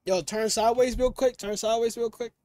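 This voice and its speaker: A man's voice: extremely high pitch, fairly even intonation, fairly quick, dark tone, no echo. A man, chatting and teasing strangers on a video call through a headset, brash and slangy. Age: 20s. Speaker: Omegle video chat host. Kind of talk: casual video chat banter. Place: small dim room with colored lights.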